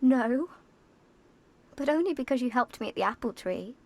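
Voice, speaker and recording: A high-voiced, earnest orphan girl. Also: lively intonation, normal speed, darkish tone, slight background noise. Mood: earnest, curious. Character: young orphan girl, earnest, defiant, moralizing. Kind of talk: video game voice acting.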